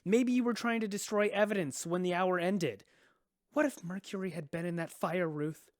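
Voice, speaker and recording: Radio drama farmer female actor: principled, accusing, intense, on the low side, dry recording. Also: normal speed, dry recording, close to an everyday voice. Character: stern, principled, wounded young farm owner.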